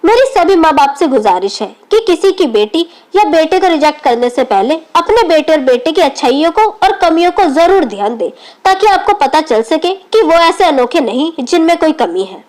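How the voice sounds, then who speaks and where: Very high-pitched woman storyteller. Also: sing-song, normal speed, hardly any pauses, a little mellow. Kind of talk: scripted narration of an emotional moral story.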